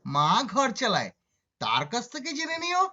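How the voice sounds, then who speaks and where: A woman's voice: on the high side, huge pitch swings, moderate pace, bright, forceful tone, exaggerated voice. A woman playing an easygoing grown son and office-worker husband in a puppet show, with emphatic delivery. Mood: dismissive. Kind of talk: puppet show moral story acting. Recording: dull lo-fi audio.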